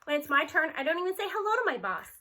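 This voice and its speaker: High, bright woman reading a story aloud. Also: sing-song, racing. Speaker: read-aloud narrator. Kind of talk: expressive storybook read-aloud in first person.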